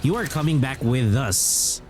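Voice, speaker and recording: A man's voice: medium pitch, animated, a little slow, over music. A man giving casual live reactions as a game streamer, cheekily talking back to the characters. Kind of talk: casual live reaction commentary over gameplay.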